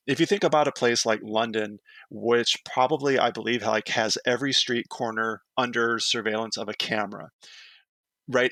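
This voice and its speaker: A man giving an expert guest's measured explanations, often checking in with "right?". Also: medium pitch, sharp tone, no echo. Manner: measured, explanatory, tag questions. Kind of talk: interview answers.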